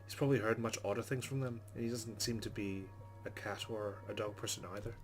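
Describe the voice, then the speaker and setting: A man's voice: on the low side, fairly even intonation, fast. A man hosting a let's play, reading visual novel narration aloud and commenting as he goes. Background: guitar game music.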